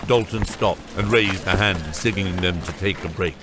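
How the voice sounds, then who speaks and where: Low-pitched male fantasy narrator. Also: normal speed, music in the background. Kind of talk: scripted fantasy audiobook narration.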